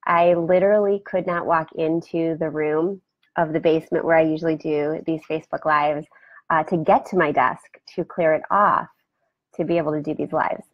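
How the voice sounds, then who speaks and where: A woman hosting a casual live video chat from her home, rambling warmly and greeting viewers by name, her voice scratchy today. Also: sits low, fairly even intonation, normal speed, dry recording, dull audio. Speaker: host. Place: home room beside a turtle aquarium. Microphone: webcam for a live video.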